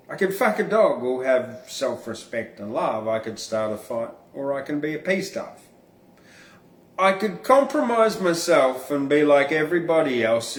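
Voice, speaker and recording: Slow-paced man reciting in a roomy space. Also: mid-range voice, far from the mic. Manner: informal, blunt, freely swearing. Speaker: podcast host and poet reading own verse. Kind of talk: performed poetry reading with casual asides. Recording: roomy, echoing room sound.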